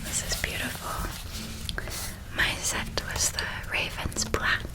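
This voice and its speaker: A woman voicing a gentle, doting witch in a soft, breathy ASMR roleplay whisper, tender and affectionate. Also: close to an everyday voice. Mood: admiring. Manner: slow, tender, affectionate, lingering on words. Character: gentle, doting older witch woman helping with school shopping.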